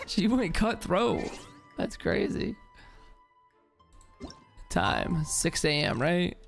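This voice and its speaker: A woman's voice: fairly low pitch, animated, drawn-out words, vocal fry. A woman streamer giving casual, joking commentary over a game in a slangy, reactive way. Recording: faint game music behind the voice.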